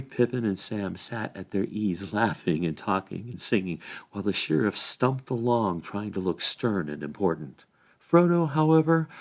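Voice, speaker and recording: A man reading a novel aloud as expressive bedtime storybook narration. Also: fairly low pitch, vocal fry. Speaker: volunteer story reader.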